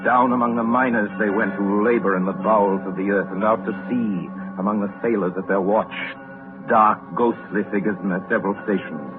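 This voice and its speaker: An actor as a grave literary storyteller, narrating a 1930s radio drama in a measured, oratorical manner. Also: male voice, on the low side, steady tone, close to an everyday voice. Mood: reflective, grave. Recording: narrow-band 1939 radio recording, music behind.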